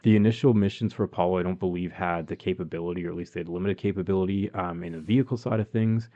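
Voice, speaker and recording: A man's voice: low voice, fairly even intonation, rapid-fire, mellow, lo-fi sound. A man with a Canadian accent co-hosting a podcast, explaining things in a chatty way with many ums and you-knows. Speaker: podcast co-host. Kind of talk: casual podcast chat.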